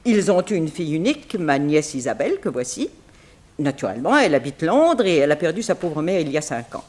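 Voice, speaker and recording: An actress playing a wealthy, talkative, scatterbrained middle-aged widow in a theatrical, grand and fussy manner, in 1960s French radio drama style. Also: female voice, deep voice, darkish tone. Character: wealthy, talkative, scatterbrained American banker's widow and society aunt.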